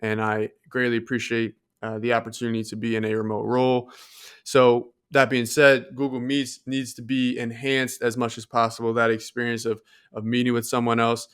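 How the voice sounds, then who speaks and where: A man's voice: medium pitch, completely flat delivery, moderate pace, a bit bright, smooth. An American man co-hosting a podcast, explaining his views on tech in a casual, earnest way. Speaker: podcast co-host.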